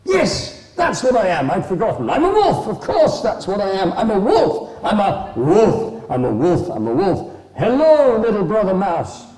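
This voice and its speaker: Excitable, high-pitched forgetful wolf. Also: male voice, measured pace, hardly any pauses, full character voice. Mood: startled, elated. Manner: exclaims and repeats himself in startled bursts. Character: vacant, forgetful grey wolf, excitable and grateful. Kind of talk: oral folktale storytelling. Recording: roomy, echoing space.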